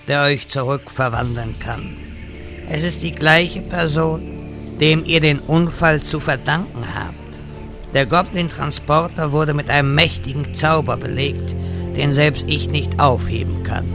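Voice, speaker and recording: A man playing a pompous, theatrical old wizard in a comedy audio drama. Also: on the high side. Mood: grave. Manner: slow, theatrical delivery.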